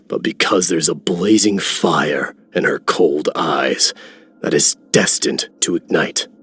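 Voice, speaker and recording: A man's voice: sits low, a bit bright, slightly rough, heavily acted. A man playing a proud, fiery warrior in a video game, speaking in a stately, declamatory way. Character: proud, fiery male warrior, grandly heroic. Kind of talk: dramatic game voice acting.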